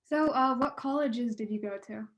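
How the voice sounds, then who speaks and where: A woman's voice: a little high, normal speed. Young woman intern asking questions.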